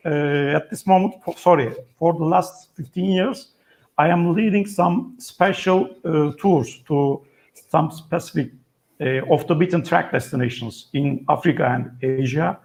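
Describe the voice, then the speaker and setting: An older man from Turkey speaks English as a second language, his native tongue being Turkish. His voice is a touch high for a man, and his delivery is measured and formal, with frequent pauses and fillers. He comes through a video call. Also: vocal fry, darkish tone. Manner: measured, halting, formal phrasing. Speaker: guest, retired army colonel and traveler. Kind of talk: webinar guest talk.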